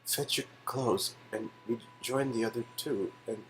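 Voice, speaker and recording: A man reading a game's narration aloud in a casual way, animated, and often breaking off into joking asides. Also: mid-range voice, unhurried, faint music behind, low-quality recording. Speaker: reader of game dialogue.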